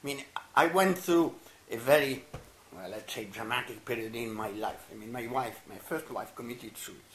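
Older man in a roomy room. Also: mid-range voice. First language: Italian. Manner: halting and warm, stammers and restarts, laughs softly. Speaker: interviewee, anthropologist. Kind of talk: reflective interview answers. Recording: roomy echo, faint noise.

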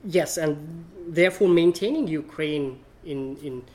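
Halting male panel speaker. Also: a little high, a little mellow. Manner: halting, searching for words. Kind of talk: academic panel talk.

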